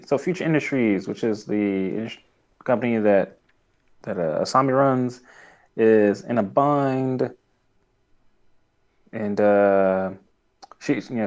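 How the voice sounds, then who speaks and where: Halting man on a slightly muffled mic. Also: fairly low pitch, darkish tone. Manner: hesitant, halting, easily amused. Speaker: podcast co-host. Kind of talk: casual recap chat.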